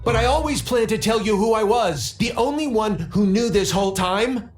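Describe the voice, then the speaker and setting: A man's voice: high voice, normal speed, echoey room, soft background music, close to an everyday voice. Man acting a flustered, indignant rich young man. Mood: confessing. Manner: protesting, earnest, flustered. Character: indignant young rich developer's son posing as rancher. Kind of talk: video game voice acting.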